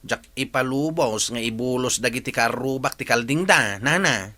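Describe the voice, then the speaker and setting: A man's voice: medium pitch, moderate pace, bright, forceful tone. A man with an Ilocano accent playing a stubborn, bantering village goat keeper in a comedy radio drama. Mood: indignant. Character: stubborn, argumentative village man who keeps goats.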